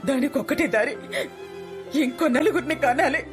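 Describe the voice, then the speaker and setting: A woman's voice: sits high, normal speed, music in the background, natural voice. An actress in her fifties playing a self-blaming mother of four who rambles and slides into a tearful confession, in a film drama. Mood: confessional. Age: 50s. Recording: film score under dialogue.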